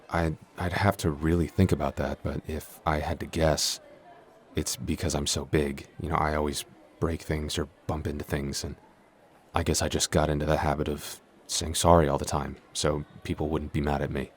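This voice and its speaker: Man playing a very deep-voiced, shy giant. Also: flat delivery, normal speed, a little hiss or hum. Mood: bashful, reflective. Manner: hesitant, stammering, apologetic. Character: shy, apologetic, clumsy young male giant. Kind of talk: intimate roleplay audio acting.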